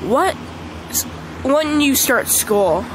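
A woman with a congested voice chatting casually over mobile gameplay, excitable and squealing at the game. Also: high voice, extremely slow, background noise. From Michigan. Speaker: gaming channel host. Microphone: phone screen recording.

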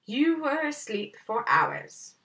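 A woman's voice: high voice, big pitch swings, slow pace, sharp tone, pitched above the performer's own voice, heavily acted. A woman voicing a cheerful, evasive male bear boatman from a storybook, waffling and politely reassuring. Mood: apologetic, waffling. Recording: slightly distant camera microphone.

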